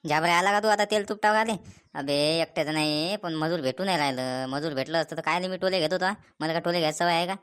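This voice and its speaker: Exasperated cartoon farmer, played by a woman: lowish pitch, very fast, repetitive grumbling, rural Vidarbha Marathi accent. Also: fairly bright tone, smooth. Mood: scolding. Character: exasperated male village farmer, grumbling about stray cattle. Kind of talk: Marathi cartoon comedy dialogue.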